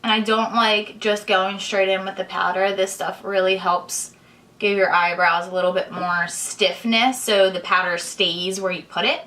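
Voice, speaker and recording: A young woman, hoarse and congested, chatting casually while she explains each step of her makeup tutorial. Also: mid-range voice, steady tone, moderate pace, bright, forceful tone. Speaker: beauty vlogger. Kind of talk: chatty get-ready-with-me tutorial.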